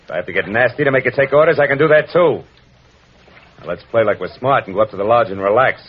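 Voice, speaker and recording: An American actor playing a tough, wry private detective in hard-boiled 1940s radio style, clipped and world-weary. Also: male voice, mid-range voice, quick pace, breathy edge, lightly acted. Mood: firm, brusque. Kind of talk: 1940s radio acting, hard-boiled first-person narration. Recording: dull vintage radio recording with music.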